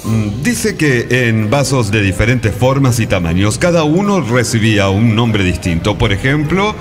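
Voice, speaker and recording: An animated actor's take on an eager male investigator in a comic radio play. He explains things playfully, his pitch moving freely, with music clearly behind him. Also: a little slow, natural voice. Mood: explanatory, playful. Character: eager male investigator travelling among ancient Greek gods, explaining and translating. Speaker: podcast radio-theatre performer. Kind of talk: comic radio theatre dialogue.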